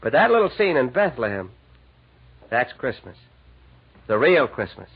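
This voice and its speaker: An actor in a 1940s radio drama playing a warm, earnest, lovestruck department store manager with an expressive delivery. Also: male voice, medium pitch, moderate pace, husky, heavily acted. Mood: firm, earnest. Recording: dull 1940s radio recording.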